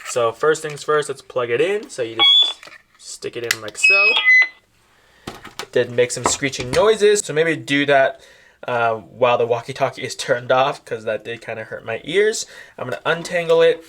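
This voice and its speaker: Male product reviewer, bright forward voice, casual explanatory delivery to viewers. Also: medium pitch, slight background noise. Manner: explanatory, step-by-step, addressing viewers. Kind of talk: casual product demonstration to camera.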